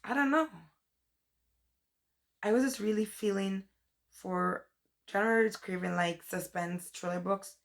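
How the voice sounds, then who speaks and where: Hoarse young woman with a cold. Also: on the low side. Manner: chatty, rambling, says "like" and "um" often. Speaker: book vlogger. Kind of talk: casual book review vlog.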